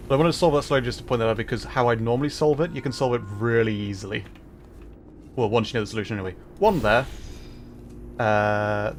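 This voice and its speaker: A man streaming as a virtual character, giving relaxed, rambling commentary while he plays and answers chat. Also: mid-range voice. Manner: relaxed, rambling, chuckles often. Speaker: VTuber streamer. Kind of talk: casual gameplay commentary.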